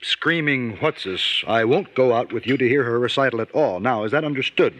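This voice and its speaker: A man's voice: medium pitch, fairly quick, fairly bright tone, natural voice. An actor playing an amused, mildly stern family father in an old-time radio drama, talking in a droll, patronizing way. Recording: noisy old radio recording.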